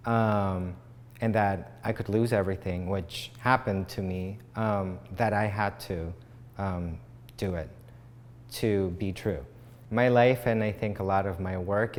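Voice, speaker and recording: Man, very low pitch, from Chile, reflective, pausing speech, interview, reverberant room, faint hum. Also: darkish tone. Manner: reflective, measured, with many pauses and ums. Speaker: playwright. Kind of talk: documentary interview. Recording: long hall reverb, faint background hum.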